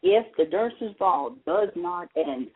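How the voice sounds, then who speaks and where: A man chatting casually and wryly as an opinionated panelist on a fan talk show, with a husky voice. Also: sits high, lively intonation, slow. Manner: opinionated, wry. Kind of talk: casual soap opera fan chat. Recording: narrow tinny phone-line sound.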